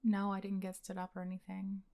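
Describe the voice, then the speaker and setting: A young woman acting as a blunt, secretly smitten best friend in an intimate, conversational ASMR roleplay, with a casual, expressive delivery. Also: medium pitch, fairly quick, creaky, slight background noise, close to an everyday voice. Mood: exasperated, casual. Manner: casual, expressive, sighing, sweary.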